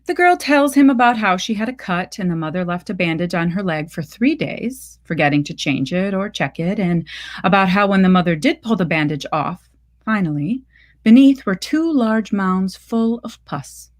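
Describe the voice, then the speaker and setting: A woman reading a literary short story aloud in measured, deliberate phrases. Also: mid-range voice, animated.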